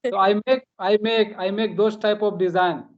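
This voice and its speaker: A man's voice: high-pitched, fairly even intonation, moderate pace, lo-fi sound. A man from India chatting casually in as a practice conversation, hesitant and repeating phrases as he goes. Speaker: host.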